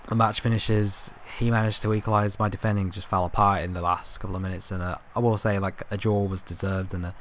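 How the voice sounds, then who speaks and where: Hoarse young man rambling over gameplay. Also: sits low, level tone, fast talker, few pauses, fairly bright tone, slight background noise. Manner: rambling, filler-heavy, self-correcting. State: hoarse, coughing, voice going. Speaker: gaming YouTuber. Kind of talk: casual gameplay commentary.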